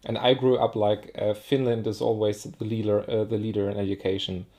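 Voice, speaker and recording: A man's voice: fairly low pitch, little pitch movement, fairly quick, mellow. Man moderating politely. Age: 30s. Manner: polite, searching for words with fillers. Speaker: host, moderator. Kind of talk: online seminar moderation.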